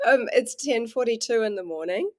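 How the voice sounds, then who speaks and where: Kiwi-accented woman guest, relaxed, a bit halting, dry and close on the mic. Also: on the high side, no echo. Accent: New Zealand. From New Zealand. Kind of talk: casual interview chat.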